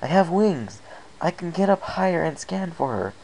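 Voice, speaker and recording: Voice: medium pitch, big pitch swings, moderate pace, gravelly, a little mellow, a little hiss or hum, voice pushed up, low-quality recording. A performer voicing an earnest young male pegasus pony in a storybook reading, anxious and a little hesitant. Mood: excited.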